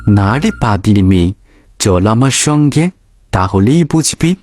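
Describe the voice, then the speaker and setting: An actor playing a kindly cartoon stranger in the woods, coaxing and tender in manner. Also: male voice, fairly low pitch, expressive, takes it slowly, darkish tone, faint music behind. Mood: reassuring. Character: kindly, coaxing man met in deep forest. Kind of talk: Bengali cartoon voice acting.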